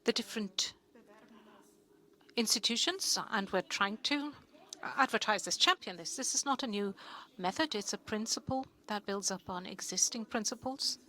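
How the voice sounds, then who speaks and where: A woman working as a simultaneous interpreter, rendering a speaker's words in short, steady chunks. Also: medium pitch, moderate pace.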